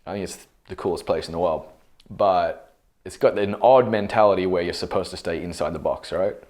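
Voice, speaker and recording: Low-voiced, curious podcast host. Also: male voice, a little fast, a little mellow. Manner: curious, chatty, opinionated. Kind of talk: casual interview.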